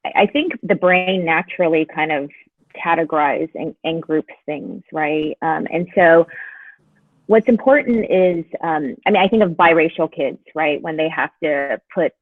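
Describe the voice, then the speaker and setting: A man's voice: on the high side, moderate pace, no echo. A man from California speaking on a video call forum, thinking aloud with many fillers and restarts. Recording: tinny phone-like call audio.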